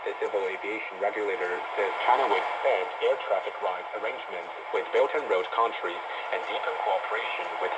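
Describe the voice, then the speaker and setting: Low-voiced newsreader man through heavy shortwave noise. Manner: formal, measured newsreading. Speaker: news presenter. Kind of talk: scripted radio news bulletin. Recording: very noisy shortwave radio reception. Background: loud radio static.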